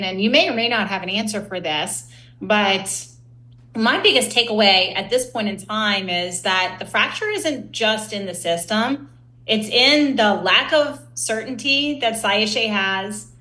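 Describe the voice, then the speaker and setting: A woman's voice: mid-range voice, a little slow, sharp tone. A woman hosting a podcast interview in a conversational, emphatic and engaged way. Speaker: podcast host. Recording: roomy, echoey sound.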